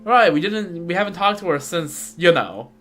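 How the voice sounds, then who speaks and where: A man doing casual live game commentary as a streamer, rambling and halting as he repeats words. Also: sits high, expressive, pushed and bright. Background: game music.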